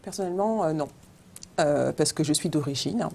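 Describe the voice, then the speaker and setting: A woman from France appearing as a guest on a studio debate, speaking hesitantly and filling her pauses with many fillers. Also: deep voice, gravelly, darkish tone, slight background noise. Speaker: studio guest, business owner.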